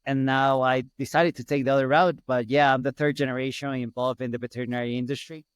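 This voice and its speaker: A middle-aged man from Ecuador with a Spanish accent talks casually as a podcast guest. His voice is clean and smooth, in a dry recording, and he often repeats phrases to make a point. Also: mid-range voice, fairly even intonation, quick pace, no room sound. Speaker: podcast guest, hospital business owner. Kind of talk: casual interview storytelling.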